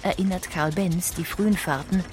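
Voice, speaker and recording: Female documentary narrator, lowish voice, composed literary delivery for an audiobook. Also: fairly even intonation, moderate pace, darkish tone, a little hiss or hum. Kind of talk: scripted documentary narration with read quotations.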